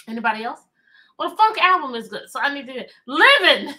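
A middle-aged American woman hosting a chatty, interactive livestream for children, animated and repeating phrases and questions to draw listeners in. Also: sits high, fairly quick. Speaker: teacher, children's read-aloud host. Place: indoor room with bookshelves.

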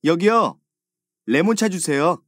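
A man voicing a friendly young learner in textbook dialogues, with clear and careful diction. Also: a little high, animated, unhurried. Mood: polite. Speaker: dialogue voice actor.

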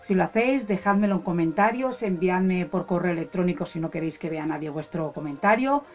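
A woman calmly explains a home ritual step by step, talking to her viewers as friends. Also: lowish voice, fairly quick, lo-fi sound, faint music behind. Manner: calm, instructive, addresses viewers as friends. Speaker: ritual channel host. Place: indoors at a table, hands only in shot.

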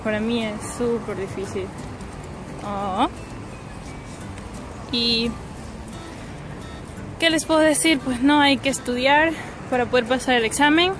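High-voiced Ecuadorian woman vlogging outdoors. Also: slow pace, long pauses. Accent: Ecuadorian Spanish. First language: Spanish. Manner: chatty, giggly. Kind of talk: casual vlog chat. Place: outdoors on a riverside path at night. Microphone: handheld phone or camera. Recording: music behind, handheld outdoor recording.

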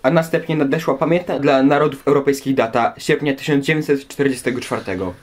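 A man of middle pitch hosts a history program. He poses questions in a scripted presenting style. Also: fairly quick. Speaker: host asking questions.

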